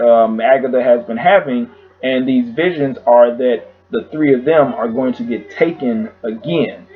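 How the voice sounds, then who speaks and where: A man with a hoarse voice casually recapping a TV episode at home, rambling with lots of fillers. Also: mid-range voice, phone-quality sound. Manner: rambling, filler-heavy storytelling. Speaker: YouTube reviewer.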